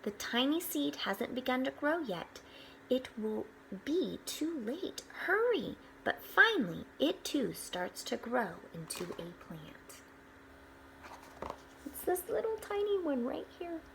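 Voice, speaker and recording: A woman reads a children's picture book aloud at an unhurried pace. Her voice sits a little high and she tells it expressively, adding small explanatory asides. There is faint noise behind her. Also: gravelly, low-quality recording. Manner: expressive, with hushed asides pointing things out.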